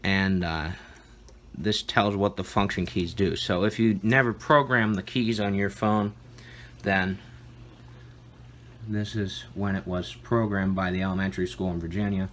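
A man's voice: sits low, fairly even intonation, unhurried, a bit bright, a little hiss or hum. A man giving an informal, matter-of-fact demonstration of equipment as a video host, recorded indoors at home.

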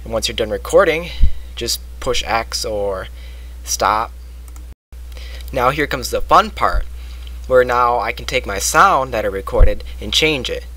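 A man narrating a software tutorial step by step, recorded close on a computer microphone. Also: medium pitch, expressive, a little slow, long pauses. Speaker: tutorial presenter. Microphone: close computer microphone, voice-over.

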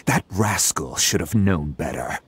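An actor playing a menacing, gloating samurai bandit boss in a video game, taunting and blustering. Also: male voice, very deep voice, animated, a little slow, slight background noise. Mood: smug, gloating. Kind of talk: video game character acting.

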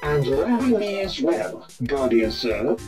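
A woman's voice: low-pitched, big pitch swings, unhurried, mellow, faint music behind. A woman voicing a formal, deferential ancient robot helper in a cartoon fan dub, stiff and servile.

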